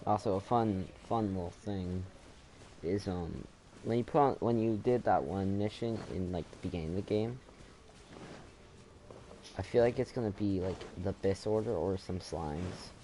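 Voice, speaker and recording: A man's voice: deep voice, normal speed, warm tone, a little hiss or hum, rough recording. A man giving casual, rambling gameplay commentary as he plays, in an informal way. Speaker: gamer, let's player.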